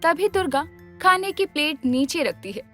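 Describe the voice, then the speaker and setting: High-pitched woman narrating a story. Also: big pitch swings, normal speed, faint music behind.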